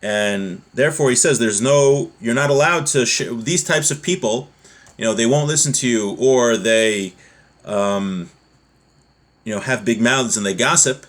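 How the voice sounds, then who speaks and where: Male religious teacher, average pitch, informal and didactic, explains texts with repetition and rhetorical questions. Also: unhurried, fairly bright tone. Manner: didactic, repeats points, asks rhetorical questions. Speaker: religious teacher giving a class. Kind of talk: informal lesson, reading text aloud and explaining it.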